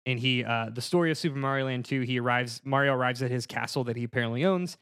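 A man's voice: mid-range voice, fast, fairly bright tone, smooth, dry recording, studio quality. A man chatting casually and comedically as a panelist on a podcast.

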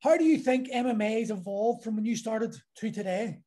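A man hosting a relaxed podcast chat. His voice is mellow and warm, and his thoughts ramble, with many restarts and long strings of clauses. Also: high voice, brisk. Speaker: podcast host. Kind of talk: casual conversational interview.

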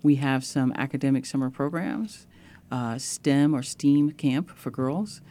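A woman guest on a radio interview, co-founder of a nonprofit, explaining its programs in a measured way. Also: rumbling low, warm tone.

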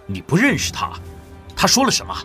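A man's voice: high-pitched, huge pitch swings, a little fast, bright, forceful tone, faint music behind, heavily acted. An actor playing a big, hearty, plain-spoken man in a Mandarin audio drama, with an emphatic manner. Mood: concerned.

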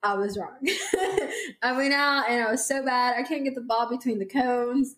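A woman's voice: high voice, expressive, moderate pace, a bit bright. A young woman chatting casually with friends, talkative and laughing easily. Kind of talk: casual chat. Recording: echoey room.

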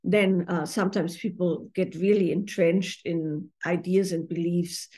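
A middle-aged woman from Austria, a therapist and author, giving thoughtful interview answers with many pauses and fillers. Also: fairly low pitch, fairly even intonation, mellow.

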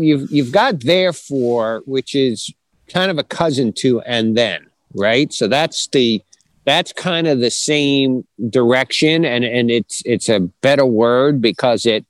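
A man's voice: medium pitch, dry recording. A man co-hosting a show about writing in a casual, conversational way.

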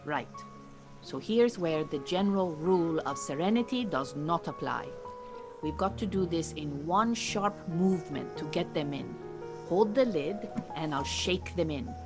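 A woman playing a calm, capable Iranian beekeeping teacher in a naturalistic audio drama, giving measured, reassuring instruction. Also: low voice, music in the background. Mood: calm, instructing. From Iran.

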